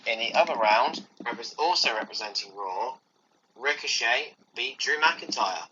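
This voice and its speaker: Male co-host, bright edgy voice, dull recording with faint hiss. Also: mid-range voice, expressive, unhurried. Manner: chatty, with restarts. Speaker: podcast co-host. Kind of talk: casual wrestling chat.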